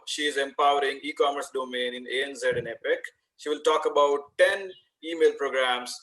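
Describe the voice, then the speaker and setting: Male event host, average pitch, introducing a presenter at an online business conference. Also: a bit bright, low-quality recording.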